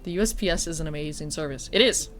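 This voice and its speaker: Woman streamer, lowish voice, sniffly, chatty and self-mocking, faint game music behind. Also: quick pace. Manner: chatty, swears, self-deprecating. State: sniffly, stuffy nose. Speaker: streamer and teacher. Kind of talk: casual chat while streaming.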